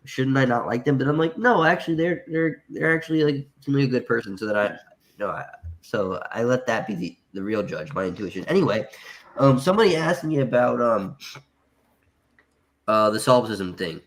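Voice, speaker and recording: A man's voice: mid-range voice, lively intonation, brisk. A man with a naturally nasal voice made thicker by congestion. He rambles in a casual call-in manner, stumbling and restarting as he works through his point. He sounds like he is talking over a video call. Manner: rambling, hesitant, many restarts. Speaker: caller.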